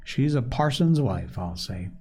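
A man's voice: medium pitch, very animated, a little slow, a little mellow, breathy edge, pitched below the performer's own voice. A man voicing a careworn, plainspoken poor mother from a storybook. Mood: grateful.

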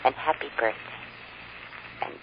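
A woman's voice: moderate pace, lightly acted. An actress playing a loving mother in an old radio drama, speaking soothingly and affectionately. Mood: tender. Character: tender, loving young mother. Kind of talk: 1940s-50s radio drama acting. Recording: muffled, noisy old radio recording.

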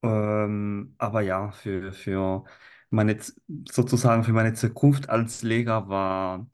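Slow-paced, hesitant Italian-accented man. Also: lowish voice, little pitch movement, clear, resonant tone. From Italy. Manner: hesitant, searching for words. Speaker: language teacher, interview guest. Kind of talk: casual podcast conversation.

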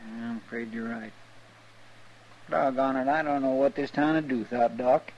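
An actor playing a loyal, deferential frontier marshal's helper with a folksy rural American drawl, in a 1950s radio western. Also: male voice, medium pitch, steady tone, normal speed, a little mellow, natural voice. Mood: worried. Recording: dull, hissy 1950s radio recording.

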